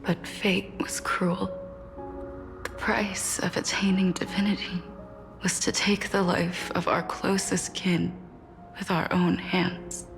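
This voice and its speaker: A woman voicing a wistful, solemn demigod of death in a game, speaking softly and often breathily in a measured storytelling manner. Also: on the low side, flat delivery, creaky, whispered, over music. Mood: mournful, reflective. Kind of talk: scripted game character voice acting.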